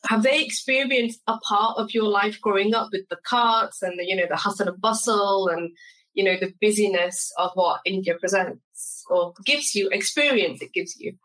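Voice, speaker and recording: A woman's voice: medium pitch, normal speed, a bit bright, low-quality recording. A woman hosting a food podcast, chatty and friendly, asking questions with short eager agreements. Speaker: podcast host. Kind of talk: casual interview.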